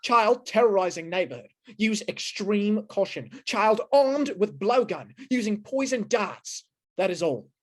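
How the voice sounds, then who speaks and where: A woman playing a brisk, official police dispatcher in an old-time radio drama, announcing in clipped, formal phrases. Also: mid-range voice, expressive. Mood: official, urgent.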